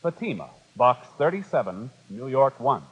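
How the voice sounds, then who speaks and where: An American man with a medium-pitched voice announces in formal 1950s radio style. He reads from a script, and the recording is dull and old. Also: very animated, moderate pace, husky. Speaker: program and commercial announcer.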